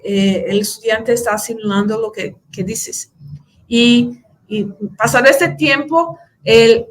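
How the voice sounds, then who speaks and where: A woman with a Brazilian Portuguese accent speaks slowly, a little high, and searches for words with frequent fillers and restarts. She is answering questions as a conference speaker over a video call, in deliberate, unhurried phrases. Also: fairly bright tone, low-quality recording. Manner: hesitant, many fillers and restarts.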